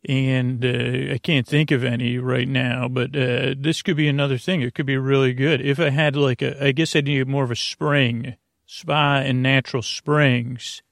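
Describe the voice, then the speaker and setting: A man hosting a bedtime podcast in a meandering, halting monologue, often trailing off mid-thought, with a creaky, gravelly voice. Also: mid-range voice, flat delivery, no echo, clean studio sound. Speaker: podcast host. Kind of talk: rambling improvised bedtime monologue.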